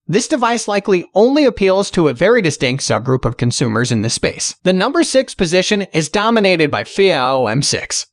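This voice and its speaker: High, lively male product-review narrator. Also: no echo, clean studio sound. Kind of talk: scripted promotional voice-over narration.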